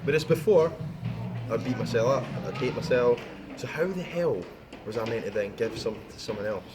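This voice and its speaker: A man speaks as a motivational coach over clear background music. His delivery is casual but blunt, and he repeats phrases for emphasis. His voice sits at an average male pitch. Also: animated, fairly quick. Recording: music behind the talk.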